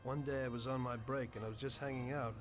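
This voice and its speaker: An actor playing a weary former taxi driver turned mob informant in a video game, with an American accent and a measured, matter-of-fact delivery. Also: male voice, medium pitch, fairly even intonation, loud music behind the voice, close to an everyday voice. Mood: reflective. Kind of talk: video game dialogue and narration.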